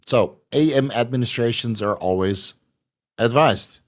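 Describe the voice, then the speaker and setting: A man hosting a casual question-and-answer show, blunt and profane, his voice going hoarse. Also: mid-range voice, measured pace. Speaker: podcast host answering viewer questions. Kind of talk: casual live Q&A.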